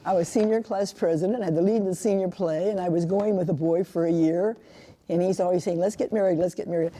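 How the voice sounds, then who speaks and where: A woman's voice: lowish voice, fairly even intonation, fast, mellow, rough recording. A woman in her late eighties, a nun, earnestly recalling how she entered religious life. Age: 80s. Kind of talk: oral history interview.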